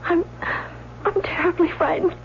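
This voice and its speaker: An actress playing a tender, eager young sweetheart in an old-time radio drama, soft and coy. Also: female voice, extremely high pitch, fairly even intonation, a little slow. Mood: frightened. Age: young adult. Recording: dull vintage radio, faint hum.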